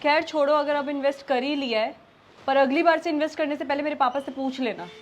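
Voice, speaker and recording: A woman's voice: high-pitched, fast, a little hiss or hum, natural voice. A young woman with an Indian accent acting a proud, easily stung, outspoken wife in a domestic drama. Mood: indignant. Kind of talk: scripted domestic drama acting in Hindi with some English.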